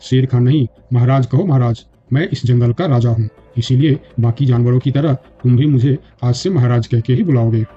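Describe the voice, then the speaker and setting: A man's voice: mid-range voice, normal speed, hardly any pauses, warm tone, slightly rough, muffled recording, faint music behind, close to an everyday voice. Man as proud, domineering cartoon lion king. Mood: angry, commanding. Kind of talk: children's cartoon voice acting.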